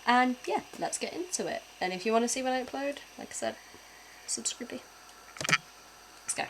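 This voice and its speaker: A woman's voice: a little high, animated, moderate pace, a little hiss or hum, low-quality recording. Chatty British woman streamer. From Britain. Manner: chatty, laughs often, swears casually. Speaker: streamer reacting to videos. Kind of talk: casual reaction stream chat.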